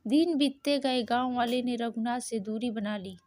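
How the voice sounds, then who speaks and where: Fairly high-voiced woman narrator. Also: moderate pace, darkish tone. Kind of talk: scripted moral story narration.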